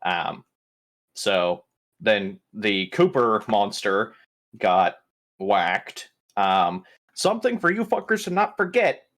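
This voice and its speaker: Lowish-voiced man with very animated delivery. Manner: profane, joking, irreverent. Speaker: tabletop RPG player giving a session recap. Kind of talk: casual improvised recap.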